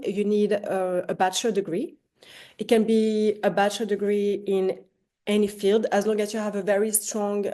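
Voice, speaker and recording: A woman presenting informally in a webinar as a program director, hesitant and pausing often. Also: mid-range voice, dark tone. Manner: hesitant, many pauses.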